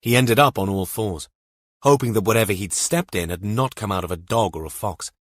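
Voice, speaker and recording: A man's voice: fairly low pitch, brisk, no room sound, clean studio sound. A man narrating a scripted thriller audiobook.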